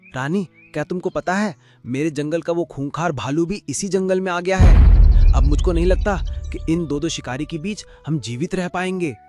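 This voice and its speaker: A man's voice: on the high side, normal speed, warm tone, natural voice. A man doing a clever cartoon donkey, earnest and a little sly, with a slightly raised voice. Light music runs underneath. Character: clever loyal male donkey named Lucky. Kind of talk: cartoon voice acting.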